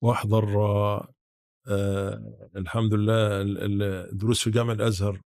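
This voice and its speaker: Interview guest: Egyptian male, seventies, lowish creaky voice, reminiscing haltingly with restarts. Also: level tone, a little mellow, no echo, studio quality. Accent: rural-born Egyptian Arabic. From Sharqia, Egypt. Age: 70s. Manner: halting, with repeated false starts. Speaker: podcast guest, academic.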